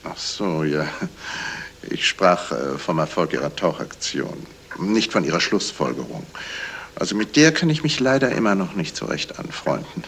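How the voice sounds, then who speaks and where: An actor playing a polite, shrewd detective in a radio crime play, talking in a measured way with small hesitations. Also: male voice, low-pitched, big pitch swings, a bit bright, exaggerated voice. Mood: explaining. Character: courteous, shrewd male detective explaining a murder. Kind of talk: 1970s German radio crime play acting. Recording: noisy vintage radio play recording.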